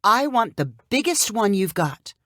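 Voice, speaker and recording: A woman voicing a confident, teasing professional woman lawyer, brisk and assured, in a short story. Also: mid-range voice, big pitch swings, fairly bright tone, no echo, studio quality, pitched above the performer's own voice. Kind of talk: audiobook character dialogue.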